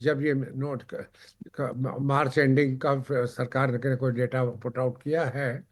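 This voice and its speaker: A man explaining points as a TV panel guest, haltingly, often repeating and rephrasing his words. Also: medium pitch, level tone. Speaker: panel guest on a consumer affairs TV show.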